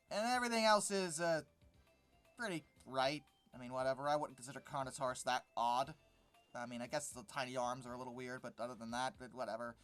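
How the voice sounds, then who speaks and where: Fed up commentator picking stuff apart, sarcastic n casual, mid pitch, bit of music behind. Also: fairly bright tone. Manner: exasperated, sarcastic, dismissive. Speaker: host of a paleontology commentary channel. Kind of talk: informal article-critique commentary.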